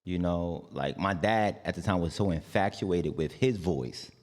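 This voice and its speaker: Deep-voiced New York man, roomy hall. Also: a little slow. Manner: relaxed, rambling, lots of "like" and "you know". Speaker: guest, singer and artist. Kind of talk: casual interview chat.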